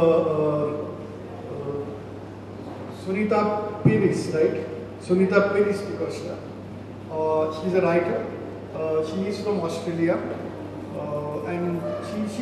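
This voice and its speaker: A middle-aged man hosts a festival session, halting and filling pauses as he introduces the guests. His voice is quite high for a man. He is heard from a distance in a reverberant hall over steady noise. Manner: hesitant, many fillers. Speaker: festival host introducing panel. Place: large echoing festival hall. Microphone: handheld mic, standing.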